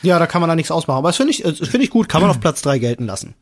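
Higher-voiced man in casual podcast chat. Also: sing-song, very fast. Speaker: podcast co-host.

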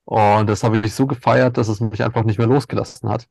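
Gravelly relaxed man in his thirties. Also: on the low side, fairly quick, no echo, studio quality. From Ukraine, raised in Germany. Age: 30s. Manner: relaxed, laughing, many fillers. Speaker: guest, cacao entrepreneur. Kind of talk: casual interview talk. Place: indoors at night, insects heard outside.

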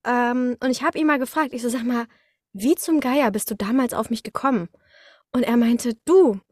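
A young woman chatting casually as a guest, telling anecdotes in a lively, slangy way. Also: on the high side, moderate pace, no echo, studio quality. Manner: animated storytelling with slangy, colloquial wording. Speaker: guest, voice actress.

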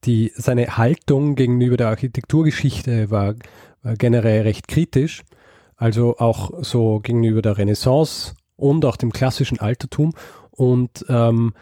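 Man, casual storyteller, very dark mellow voice, halting delivery, dry close sound. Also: medium pitch, no room sound. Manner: hesitant, many ums and restarts. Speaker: podcast host telling a story.

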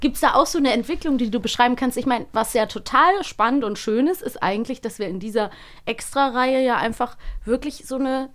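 Higher-voiced woman hosting a podcast. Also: brisk, fairly bright tone. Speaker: podcast host. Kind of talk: casual conversational interviewing. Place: podcast studio.

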